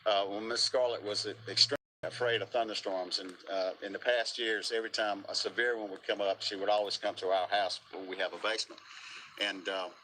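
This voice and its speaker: Middle-aged American man, deep but bright, halting local interviewee on location outdoors. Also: fairly even intonation, poor audio. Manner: halting, hesitant, repeats words. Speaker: local resident and business owner being interviewed. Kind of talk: casual eyewitness interview. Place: outdoors at a storm damage site. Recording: outdoor interview with faint noise.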